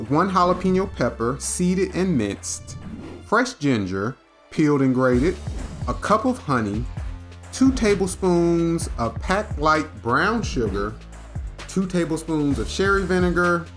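A man hosting a cooking demonstration, reading a recipe's ingredients and steps in a measured, instructive way. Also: on the high side, a bit bright.

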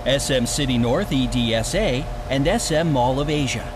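A man's voice: medium pitch, normal speed, background noise. A man reading the scripted narration of an explainer video in an upbeat, punchy way.

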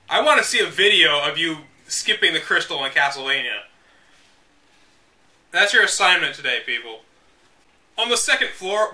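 Bright, high-pitched man, sarcastic read-aloud host. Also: recorded from a distance. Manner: sarcastic, exasperated. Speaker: host reading a game guide aloud with commentary. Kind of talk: dramatic read-aloud with casual mocking asides.

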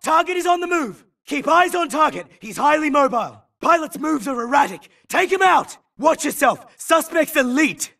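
A man's voice: very high-pitched, gravelly, sharp tone, distant mic, full character voice. A man playing an urgent, tough, disciplined combat soldier in a video game, barking clipped military battle calls. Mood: alarmed, urgent. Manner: clipped, urgent radio-call phrasing. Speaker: game voice actor.

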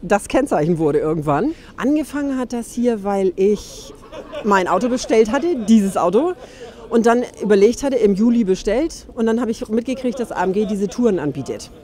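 A woman's voice: mid-range voice, expressive, normal speed, mellow, a faint crowd. A middle-aged German woman from Hamburg telling a candid, self-deprecating story about her car in a casual interview outdoors. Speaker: car enthusiast and event organizer.

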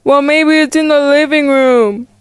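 A woman's voice: high voice, fairly even intonation, measured pace, no room sound, studio quality. A woman voicing a caring, nagging dad in a cartoon game roleplay, reassuring and mildly insistent. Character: caring, nagging dad of a high-school girl.

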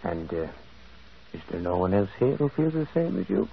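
A male actor playing a gentle, shrewd priest detective in a radio mystery, musing and hesitant, often repeating words. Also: deep voice, very animated, moderate pace, creaky, husky, full character voice. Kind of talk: vintage radio mystery acting. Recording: dull, muffled old radio recording.

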